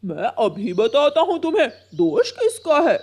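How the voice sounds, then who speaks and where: A woman doing a cranky, selfish male crow in a kids' cartoon, gruff and threatening. The voice sits fairly high for a male character and swings up and down a lot as he snaps at the other birds. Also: wildly swooping pitch, unhurried, darkish tone, exaggerated voice. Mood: angry. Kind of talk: Hindi cartoon voice acting.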